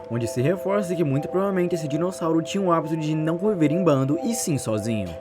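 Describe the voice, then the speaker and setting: A man with a Brazilian Portuguese accent narrating a story as casual online commentary, in a wordy, intrigued manner. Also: fairly high pitch. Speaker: YouTube narrator.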